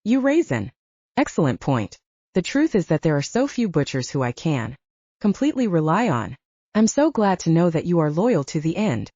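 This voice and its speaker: A woman reader plays a composed male leader in an audiobook fantasy. He speaks formally and with authority, in a soft dark tone that moves freely in pitch. The recording is dry and slightly dull. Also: big pitch swings, normal speed, no room sound. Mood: earnest, commanding.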